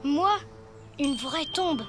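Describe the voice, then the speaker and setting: A woman's voice: sits high, animated, very slow, full character voice. A woman voicing a perky, chatty young child in a French cartoon. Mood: confiding. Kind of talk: French cartoon dub acting. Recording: music behind the voice.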